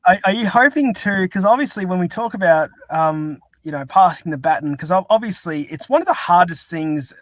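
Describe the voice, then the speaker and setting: A man's voice: sits high, animated, fairly quick, hardly any pauses, no echo. A young man hosting a podcast who speaks earnestly and rambles, with many restarts. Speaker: podcast host. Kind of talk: casual interview chat.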